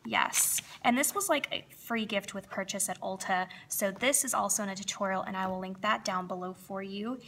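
A woman's voice: mid-range voice, moderate pace, few pauses, fairly bright tone, a little hiss or hum. A young woman with a slightly froggy voice, chatting upbeat as she narrates her planner decorating. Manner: chatty, upbeat, lots of fillers. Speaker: planner crafting vlogger. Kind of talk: casual voice-over plan with me.

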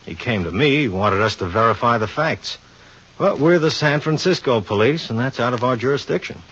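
Male police inspector, teasing, casual, rough-edged voice, 1950s radio drama, dull vintage recording. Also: medium pitch, expressive, brisk, fairly bright tone. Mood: explaining, easygoing. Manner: casual, slangy.

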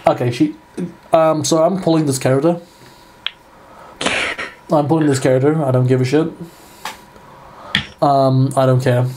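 A man's voice: mid-range voice, steady tone, normal speed, pauses often, background noise. A man giving casual live reaction commentary, stammering and repeating his phrases. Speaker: reaction video host. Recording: music playing behind the voice.

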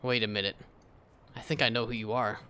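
A young woman gamer chatting casually as she plays, excitable and teasing, often repeating her phrases. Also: extremely low pitch, fairly quick, clear, resonant tone. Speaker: gamer, video creator. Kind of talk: casual game chat.

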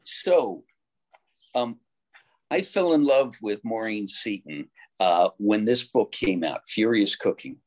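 A man's voice: fairly low pitch, animated, slow. A middle-aged man reading poetry aloud on a video call from a room at home. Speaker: poetry reader.

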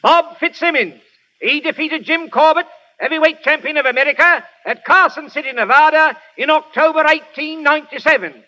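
A man's voice: very high-pitched, fairly quick, heavily acted. An actor playing a courteous stage memory man with formal, declamatory showman's patter in old radio style. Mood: proud recital. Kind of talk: 1940s radio acting. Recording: old radio broadcast, loud crowd noise.

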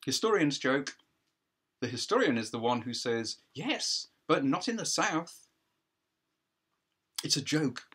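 A man's voice: medium pitch, sing-song, takes it slowly, pauses often, a bit bright, breathy edge, exaggerated voice. A man playing a defiant, aggrieved history teacher on trial in a play, with an earnest, lecturing manner.

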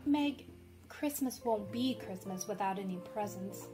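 A woman's voice: medium pitch, measured pace, over music, close to an everyday voice. A woman playing a spirited, ambitious, bossy young sister in an old-time radio drama. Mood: eager, dreamy.

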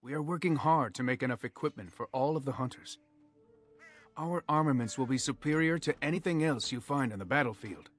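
A man's voice: medium pitch, fairly quick, soft background music, lightly acted. An actor playing a proud, courteous elf master craftsman in a video game, speaking formally and earnestly. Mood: businesslike pride. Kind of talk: video game character acting.

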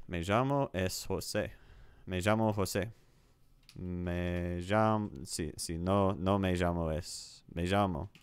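Deep slow-talking man repeating spanish. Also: smooth, no room sound. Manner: repeats app sentences aloud, chuckling, self-correcting. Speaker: streamer learning Spanish. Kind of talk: casual commentary while playing a language app.

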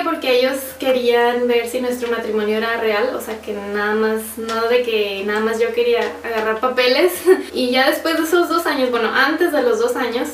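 A young woman with a Mexican accent, casually chatting and laughing often as she answers viewer questions on a sofa at home. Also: high-pitched. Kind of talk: casual Q&A chat. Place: living room, on a sofa. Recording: roomy, slightly distant, faint hiss.

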